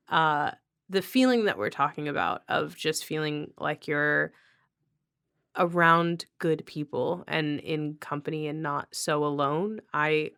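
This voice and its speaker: A woman's voice: low-pitched, vocal fry, a bit bright. A woman hosting a podcast in a casual chat, repeating words and phrases as she goes. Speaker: podcast host.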